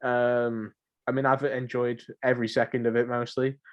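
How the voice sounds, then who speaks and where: A man's voice: mid-range voice, little pitch movement, normal speed, dull audio. A man hosting a casual podcast chat, somewhat hesitant and often repeating his phrases. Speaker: podcast host.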